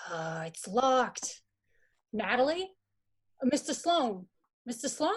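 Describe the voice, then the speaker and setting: An anxious young gentleman played by a woman in a radio mystery. The voice sits fairly high and comes in halting, urgent phrases, as a well-mannered suitor caught up in a crime. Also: background noise, exaggerated voice. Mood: anxious searching. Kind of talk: radio mystery drama acting.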